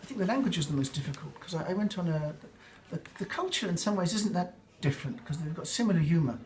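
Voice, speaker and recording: A man's voice: a little high, animated, fast. An older man with an English accent, answering interview questions in a reflective, rambling way with fillers and restarts. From England.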